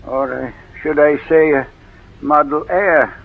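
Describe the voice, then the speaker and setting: An actor playing a sly, ailing old uncle in 1940s radio drama, deliberate and needling. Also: male voice, on the high side, vocal fry, full character voice. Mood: sardonic. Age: older. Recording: muffled old radio recording, narrow band.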